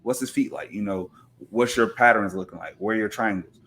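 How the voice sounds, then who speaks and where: Low-voiced man giving assertive sports analysis. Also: a little fast, a bit bright. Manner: assertive, explanatory, punctuated with rhetorical questions. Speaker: podcast guest.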